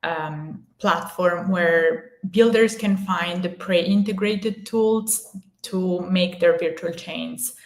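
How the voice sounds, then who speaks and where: A woman hosting an interview, speaking in a measured way with ums between phrases. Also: on the low side, echoey room. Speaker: host. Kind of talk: hosting a live audio interview.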